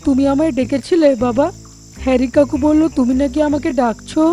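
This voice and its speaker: A woman's voice: high-pitched, steady tone, moderate pace, dark tone, soft background music, natural voice. A woman voicing a gullible, innocent young lion cub in a cartoon, childlike and naive. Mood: innocent, puzzled.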